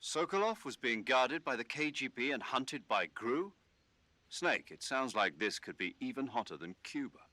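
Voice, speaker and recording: An actor playing an authoritative male military commander in a video game, giving crisp, expressive radio dialogue. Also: mid-range voice, moderate pace, no echo. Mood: concerned. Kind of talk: dramatic video game voice acting.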